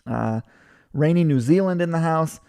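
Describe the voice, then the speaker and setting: A man's voice: on the high side, moderate pace, vocal fry, dark tone. A man from Hawaii in his thirties, hosting a live webinar and chatting casually with viewers, full of fillers and restarts. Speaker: webinar host. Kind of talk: casual chat reading viewer comments.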